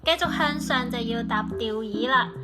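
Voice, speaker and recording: A woman narrating a travel vlog in a brisk, chatty tour-guide tone. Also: a little high. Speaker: travel vlogger. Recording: voice-over with loud background music.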